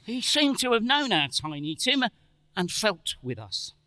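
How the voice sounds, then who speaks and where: A man playing a gentle, grieving father in a radio play, halting and stammering as he holds back his sorrow. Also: high voice, big pitch swings, no room sound, heavily acted. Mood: grateful, tender. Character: gentle grieving father, poor, tender, holding back tears. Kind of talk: theatrical radio play acting.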